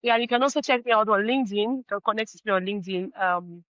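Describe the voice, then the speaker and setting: A woman's voice: medium pitch, quick pace, a bit bright, dry recording, dull audio. A woman with a Nigerian accent, a native Yoruba speaker, talking casually as an interview guest. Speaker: interview guest, fashion brand founder.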